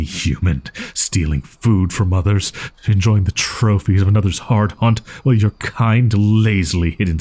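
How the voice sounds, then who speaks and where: A man's voice: deep voice, a little mellow, slightly rough, exaggerated voice. A man voicing a proud, easily flustered young wolf-boy in an audio roleplay, speaking in haughty, archaic, formal phrasing. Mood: indignant disgust.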